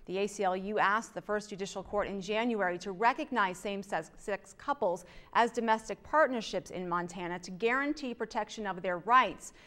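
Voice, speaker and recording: A middle-aged woman delivers scripted news from a TV studio. Her voice sits at a medium pitch, and she reads as a news anchor does. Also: moderate pace, few pauses, fairly bright tone.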